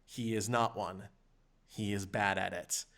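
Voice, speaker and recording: A man's voice: on the low side, steady tone, measured pace, a bit bright. A man co-hosting a podcast, chatting and commenting on news in a blunt, opinionated, casually sweary way. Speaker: podcast co-host.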